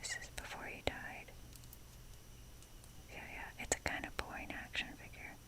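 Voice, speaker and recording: A young adult woman whispering in a breathy, casual, rambling ASMR style as she shows things off. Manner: unhurried, informal, rambling. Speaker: ASMR video creator.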